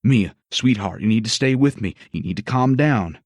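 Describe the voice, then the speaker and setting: A woman voicing a calm, gentle male medic in an audiobook, speaking soothingly. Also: extremely low pitch, fairly quick, no room sound, clean studio sound, voice pushed down. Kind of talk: audiobook dialogue.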